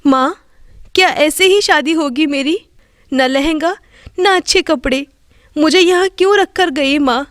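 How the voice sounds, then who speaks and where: A woman's voice: high voice, unhurried, no room sound, studio quality. A woman voicing a meek, mistreated young daughter, innocent and pleading, in a storybook tale. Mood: sorrowful.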